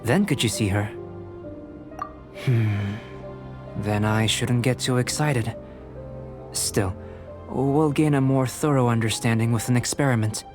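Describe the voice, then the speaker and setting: A man voices a young scholar-alchemist from a video game, composed and courteous. His mid-pitched voice is articulate and analytical, over light background music. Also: a little slow, pauses often, natural voice. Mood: inquisitive.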